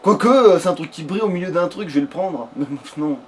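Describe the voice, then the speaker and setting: A rather high-pitched man chats through a video game as a let's player. He rambles and swears freely in a casual way. There is some noise in the background. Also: quick pace. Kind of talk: casual gaming commentary.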